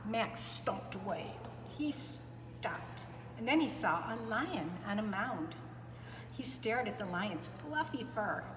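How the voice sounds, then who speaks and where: Animated woman storyteller in echoey room. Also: mid-range voice, slow. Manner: expressive, chatty asides to young listeners. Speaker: preschool storyteller. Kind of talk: animated read-aloud for young children. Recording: echoey reverberant room, clear background noise.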